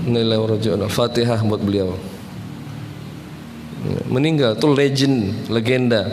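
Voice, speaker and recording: A man's voice: medium pitch, noisy background. A man giving a casual, joking religious talk as a preacher, often mimicking regional accents. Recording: long hall reverb, faint ambience.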